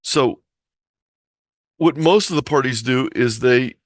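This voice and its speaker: An American man with a mid-pitched voice presents an informal hobby lecture. He explains things step by step in an instructive way. The sound is dry, with very little room around the voice. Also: takes it slowly, no room sound. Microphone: close computer or headset mic on a video call.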